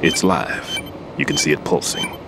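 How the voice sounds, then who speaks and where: A deep-voiced old bomb expert. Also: male voice, wildly swooping pitch, a little slow, background noise, full character voice. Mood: calm instructive. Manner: instructive, reflective. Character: older male bomb disposal expert, patient mentor. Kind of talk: video game cutscene dialogue. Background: music score.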